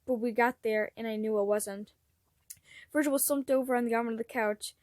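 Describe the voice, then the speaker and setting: A woman's voice: a little high, steady tone, normal speed, darkish tone, no room sound, studio quality. A woman reading fan fiction aloud as an amateur narrator, expressively and with acted dialogue.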